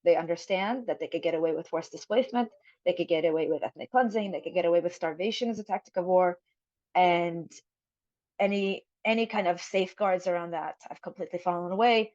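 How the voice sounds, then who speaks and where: A woman's voice: lowish voice, a little fast, darkish tone, no echo, muffled recording. A woman from Jerusalem speaking on a forum panel over a video call, thoughtful, with frequent fillers and restarts.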